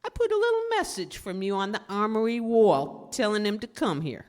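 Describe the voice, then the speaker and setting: A woman's voice: mid-range voice, huge pitch swings, a little fast, a little mellow, big-room sound. A woman playing a menacing but jumpy male gangster henchman in a 1930s-style radio crime drama, with slangy tough-guy talk. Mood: gloating.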